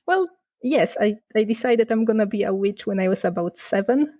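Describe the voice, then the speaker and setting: A young woman from Poland chats through an interview, restarting words and laughing between points. Her voice sits in a middle pitch, recorded dry and close. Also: expressive, moderate pace, dark tone, no room sound. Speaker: guest, game designer. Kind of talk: casual podcast interview.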